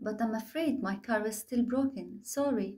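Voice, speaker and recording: A woman playing a kind young lady in a bedtime story, gentle and reassuring. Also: a little high, fairly quick, close to an everyday voice. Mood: apologetic. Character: kind young Lebanese lady singer with a broken car. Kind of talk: storybook character acting.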